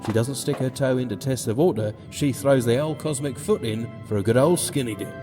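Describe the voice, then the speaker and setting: An actor playing a grumbling, sarcastic space delivery pilot in a comedy audio drama. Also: male voice, mid-range voice, a little fast, over music, natural voice. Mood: incredulous, cynical. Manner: grumbling, sardonic, theatrical sighing.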